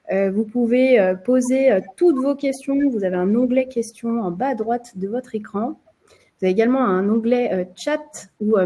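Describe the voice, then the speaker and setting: A woman's voice: on the high side, mellow. A woman from France with a French accent, hosting a live webinar in a chatty way with many hesitations. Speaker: marketing presenter hosting a webinar.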